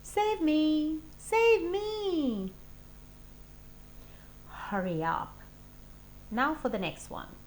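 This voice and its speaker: Young schoolkid for a storybook, played by a woman: cheeky and excitable, higher pitch with very animated swings. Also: very slow, pauses often, a little hiss or hum, full character voice. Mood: frantic, excited.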